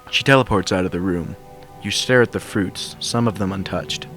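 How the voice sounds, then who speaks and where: A man's voice: low-pitched, normal speed, a bit bright. A man reading a scripted fan fiction story as its narrator. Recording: soundtrack music behind the voice. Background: game soundtrack music.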